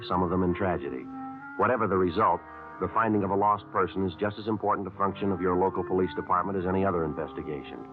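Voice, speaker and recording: An actor playing a terse police sergeant who also narrates, speaking in a flat, clipped, deadpan way. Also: male voice, low voice, lightly acted. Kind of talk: 1950s radio drama acting. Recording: tinny 1950s radio broadcast.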